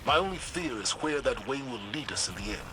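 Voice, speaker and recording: An actor playing a stern, wary military commander in a video game, with firm, guarded, clipped delivery. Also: male voice, mid-range voice, lively intonation, a little fast, noisy background, close to an everyday voice. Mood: wary, concerned. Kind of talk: scripted video game dialogue.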